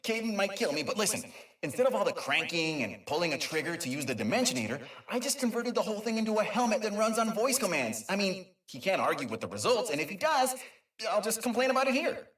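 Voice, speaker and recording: Echoey, animated, nerdy creature inventor. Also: male voice, sits high, quick pace, distant mic. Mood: giddy, excited. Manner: rambling, excitable, stammering. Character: eager, nerdy, kind male Lombax inventor and dimension mapper. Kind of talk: informal recorded audio log in a video game.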